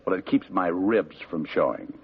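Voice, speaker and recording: A man's voice: deep voice, lively intonation, normal speed, vocal fry, slightly rough, full character voice. An actor playing a breezy, sardonic male private detective in a 1950s radio drama, tossing off wisecracks. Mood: wry. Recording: dull old radio broadcast.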